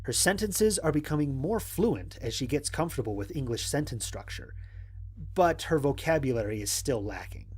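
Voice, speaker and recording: A man acts as a stranded astronaut narrating his logs for an audio drama. His mid-pitched voice is a little husky and moves with animated inflection. The delivery is measured, analytical and dryly humorous. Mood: reflective, wry. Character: stranded human astronaut, wry, analytical, recording mission logs. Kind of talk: dramatic scripted log narration.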